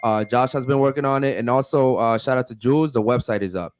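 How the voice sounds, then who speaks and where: A man's voice: mid-range voice, quick pace, background noise. A man hosting a casual podcast, talking loosely and profanely with hyped energy. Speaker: podcast host.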